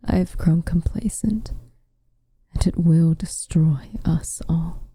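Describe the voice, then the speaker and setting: Woman acting a breathy, dark-voiced brooding witch. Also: on the low side, no room sound, exaggerated voice. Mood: troubled, despairing. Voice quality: soft, breathy, often whispering. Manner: measured, grave, formal phrasing. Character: protective, brooding tsundere witch, woman, weary but tender. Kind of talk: ASMR roleplay, slow intimate acting. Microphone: close microphone, dry.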